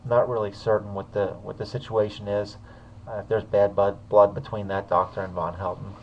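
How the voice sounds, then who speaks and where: Hesitant low-voiced man, muffled sound. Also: level tone, normal speed, a little mellow, slight background noise. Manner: hesitant, many fillers and restarts. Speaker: uploader commenting on a video. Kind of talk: casual spoken commentary.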